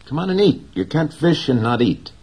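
A man voicing an earnest, devoted young fisher boy in a higher, lighter voice. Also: big pitch swings, normal speed, husky. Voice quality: raised, brighter boyish voice.

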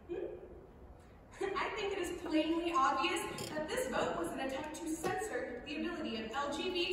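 A very reverberant theater, recorded from a distance, carries a woman acting as an indignant female high school student. Her voice is high, and she declaims her protest speech emphatically, with pointed rhetorical delivery. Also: a bit bright. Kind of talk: staged reading of a public speech. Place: large theater stage at lectern, indoors. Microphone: far camera in audience. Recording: very echoey theater, distant mic, faint noise.

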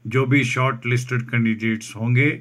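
A man reads out a job advertisement over a document, slowly restating each English term in Hindi. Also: medium pitch, fairly even intonation, fairly bright tone. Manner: slow, deliberate, restates each point in Hindi after English terms. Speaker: job vacancy channel host.

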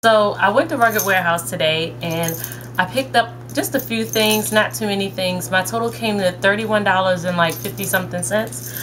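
A woman's voice: medium pitch, moderate pace, a bit bright. A young woman talks straight to her webcam from her bedroom, showing viewers what she bought. Her delivery is chatty and upbeat, with a friendly, mid-range voice. A faint hiss of background noise sits under the recording. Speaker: haul vlogger. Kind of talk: casual shopping haul chat. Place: bedroom, indoors. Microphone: webcam at desk distance.

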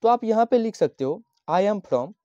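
Man from Bihar, tutor type, slow patient explainer, mellow tone, dry dull lo-fi sound. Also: sits high, animated, no echo. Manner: slow, patient, repeats each phrase and dictates English lines. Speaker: teacher. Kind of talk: handwritten English lesson explained in Hindi. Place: at a desk, writing on a notebook page.

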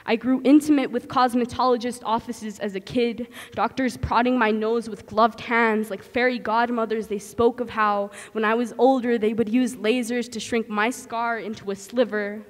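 A young transgender man performing spoken word poetry at an open mic, with a rhythmic, impassioned delivery that swings between hushed and loud. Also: extremely high pitch, fairly even intonation, normal speed, hardly any pauses, in a large hall. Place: stage in a community hall, indoors. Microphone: stand mic on stage.

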